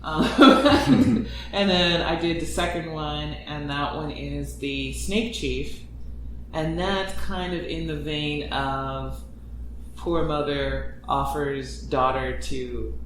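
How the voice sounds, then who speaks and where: A man with a slightly raised voice talks casually on a podcast, animated and quick to laugh at his own jokes. The sound rings around a very echoey room, and noise can be clearly heard underneath. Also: a little high. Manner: animated, laughs often, jokey asides. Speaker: podcast writer and producer.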